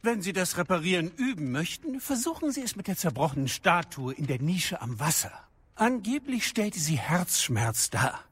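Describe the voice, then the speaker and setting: An actor playing a genial, eccentric teacher of spells in a German audio drama, husky-voiced, courteous and lightly theatrical. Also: male voice, sits high, sing-song, moderate pace, fairly bright tone, dry recording, full character voice. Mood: genial, instructive.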